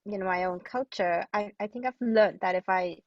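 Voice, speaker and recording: A woman from Hong Kong giving thoughtful interview answers as a guest playwright, often halting and restarting mid-sentence. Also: medium pitch, no room sound, lo-fi sound.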